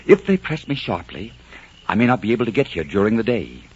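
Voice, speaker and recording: An actor playing a bold romantic highwayman and verse teller, intense and declamatory in 1940s radio style. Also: very animated, a little fast, slightly rough, full character voice. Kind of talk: theatrical 1940s radio acting and verse recitation. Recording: muffled 1940s radio recording with music.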